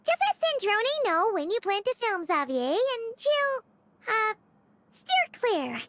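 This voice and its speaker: An actress voicing a tiny, cheerful, childlike companion sprite in a video game, chirpy and eager to reassure. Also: female voice, extremely high pitch, big pitch swings, takes it slowly, fairly bright tone, slight background noise, heavily acted. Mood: hasty, evasive.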